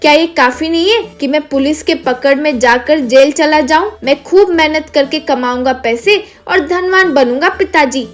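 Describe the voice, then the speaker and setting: A woman's voice: high-pitched, normal speed, hardly any pauses, lightly acted. A woman voicing an earnest, respectful young boy hero in a cartoon story. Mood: reassuring, determined. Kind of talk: scripted cartoon dialogue.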